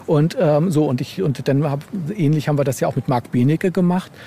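Bright-voiced man, halting and conversational. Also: fairly high pitch, a little hiss or hum. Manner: halting, repeats words, frequent tag "ne?". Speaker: interview guest, novelist. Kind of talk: casual conversational interview, telling anecdotes.

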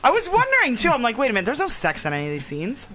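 A woman's voice: on the high side, wildly swooping pitch, rapid-fire, over music. A woman co-hosting a casual podcast, giggly and playfully teasing. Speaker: podcast co-host. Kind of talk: casual chat.

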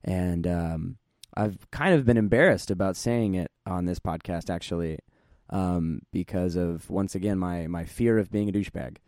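A young man with a low voice hosts a podcast in a rambling, self-deprecating way. He fills his talk with ums and uhs. The sound is close and dry. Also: normal speed, few pauses, darkish tone, no echo. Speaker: podcast host. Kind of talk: casual podcast chat. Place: practice room.

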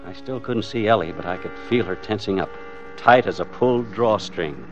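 A man's voice: lowish voice, moderate pace, close to an everyday voice. An American actor playing a weary, hard-boiled family man narrating his hostage ordeal in an old-time radio drama. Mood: grim, brooding. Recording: dull old radio recording with music.

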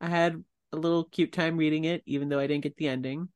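A man's voice: fairly high pitch, flat delivery, fast talker, clean tone, no room sound. Lighthearted man, dry recording. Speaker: podcast host. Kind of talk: casual chat.

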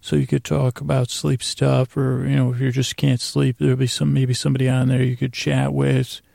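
A man with a gravelly voice hosts a bedtime podcast, droning along in a meandering, halting monologue full of tangents. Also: medium pitch, level tone, mellow, no room sound, clean studio sound. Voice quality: creaky, gravelly. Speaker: podcast host.

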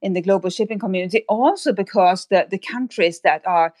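A woman's voice: mid-range voice, brisk, a little mellow, dry recording, clean studio sound. A woman speaking as an expert guest in an interview, analysing at length and often repeating words as she thinks aloud. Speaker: expert guest on maritime affairs.

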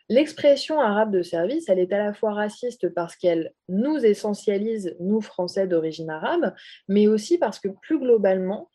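A woman's voice: medium pitch, dark tone. A woman from France in a political discussion, making her points emphatically and in order.

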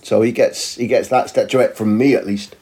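A man's voice: fairly low pitch, fairly even intonation, moderate pace, a little mellow, slight background noise. A man talking as a football journalist and co-host in a casual podcast chat, rambling and wry, with reflective asides.

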